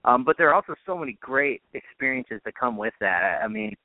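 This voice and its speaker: A man's voice: medium pitch, very animated, brisk, vocal fry, dry recording. A man, a radio sports announcer, giving conversational interview answers as a guest over a narrow phone line.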